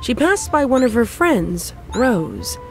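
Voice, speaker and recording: A woman's voice: fairly high pitch, big pitch swings, takes it slowly. A woman narrating a scripted comedic story as a storyteller. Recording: faint music behind the voice.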